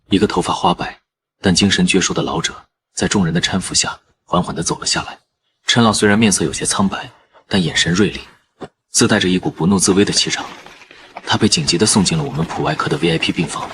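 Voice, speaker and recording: A man narrating in the first person as a calm surgeon, in measured scripted narration. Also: low voice, background noise, lightly acted. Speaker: narrator and lead character, a surgeon.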